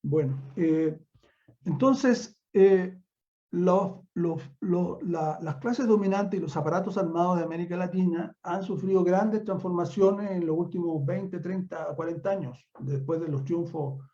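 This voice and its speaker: High-pitched older Chilean man, dull audio. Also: warm tone. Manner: emphatic, repeats words and phrases for emphasis. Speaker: university professor, guest speaker. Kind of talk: extemporaneous online academic lecture. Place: remote online conference call. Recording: dull lo-fi online call audio.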